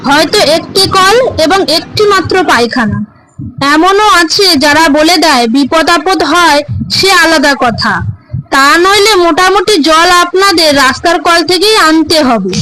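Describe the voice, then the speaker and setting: A woman's voice: very high-pitched, bright, forceful tone, poor audio. A woman narrator reading a scripted horror story for a cartoon.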